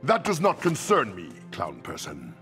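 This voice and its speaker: Pompous ancient supervillain in a game, formal, lively pitch, loud music behind. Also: male voice, normal speed. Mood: aloof. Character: haughty, ancient super-powered tyrant man.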